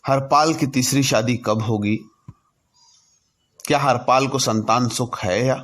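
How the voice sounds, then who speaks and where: A man narrating a personal story in a deliberate way, in short halting phrases. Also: medium pitch, a bit bright. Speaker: narrator telling his own anecdotes.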